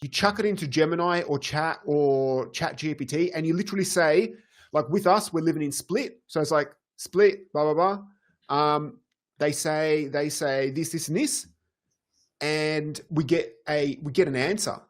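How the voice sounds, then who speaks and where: A middle-aged man co-hosting a casual podcast, talking in an easygoing, agreeable way with quick bursts. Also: fairly high pitch. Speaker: podcast co-host. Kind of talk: casual chat.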